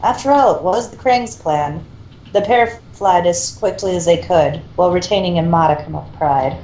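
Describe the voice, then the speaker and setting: A woman's voice: lowish voice, moderate pace, darkish tone. A woman doing amateur fan fiction narration and casual chat as part of a group reading. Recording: roomy echo, faint background noise.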